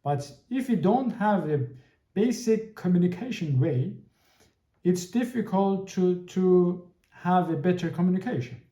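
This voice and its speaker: A man in his thirties hosts a solo podcast, his voice fairly high but dark and mellow. He speaks haltingly, repeating words and restarting his sentences as he hunts for the right phrase. The room around him rings with echo. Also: animated. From Iran. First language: Persian. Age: 30s. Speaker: podcast host.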